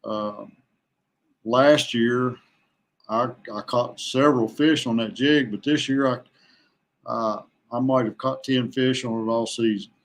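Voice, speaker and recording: A man from West Virginia chatting casually as a podcast guest, plain-spoken and halting, in no hurry. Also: mid-range voice, fairly even intonation. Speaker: podcast guest, veteran kayak angler. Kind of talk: casual chat.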